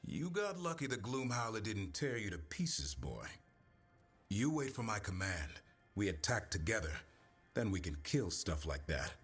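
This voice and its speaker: A man playing a gruff, stoic but caring warrior and creature keeper in a video game cutscene, terse and dryly humorous. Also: on the low side, very animated, measured pace, heavily acted. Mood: stern, proud. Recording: music behind.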